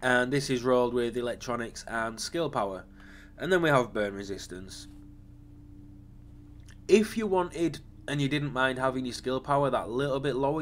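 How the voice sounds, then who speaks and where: A man hosting a gaming channel, casually talking through his game build. His voice is slightly raspy from a lingering cough, and he rambles, with frequent ums and self-corrections. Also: mid-range voice, a little hiss or hum.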